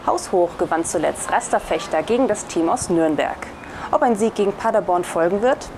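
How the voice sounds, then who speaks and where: A woman's voice: lowish voice, animated, normal speed, background noise. A woman presenting scripted news items.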